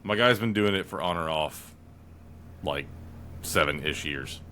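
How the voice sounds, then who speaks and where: Player, thirties, very low voice, loose and rambling delivery, faint hiss underneath. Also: male voice, lively intonation, unhurried. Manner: rambling storyteller, trails off quietly. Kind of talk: casual chat between friends.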